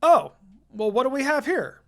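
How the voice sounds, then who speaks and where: A reader voicing an exasperated male ninja school teacher with a loud, stern manner. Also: high-pitched, sing-song, creaky, voice pushed up, full character voice. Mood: surprised. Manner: loud, stern, drawn-out scolding.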